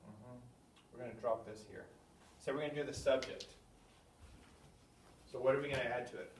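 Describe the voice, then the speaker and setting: A man's voice: mid-range voice, steady tone. Man teaching a class, roomy and distant. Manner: thinking aloud, slow deliberate spelling-out of words. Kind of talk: classroom lesson at a whiteboard. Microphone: camera at a distance. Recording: echoey room, voice from afar, faint hum.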